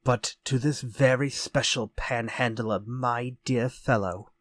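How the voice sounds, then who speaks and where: Sinister killer out for revenge, old radio drama, slow n theatrical, dry close sound. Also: male voice, medium pitch, fairly even intonation, dry recording. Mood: threatening, demanding. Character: sinister vengeful ex-convict murderer visiting a stuck writer. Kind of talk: retro radio drama acting.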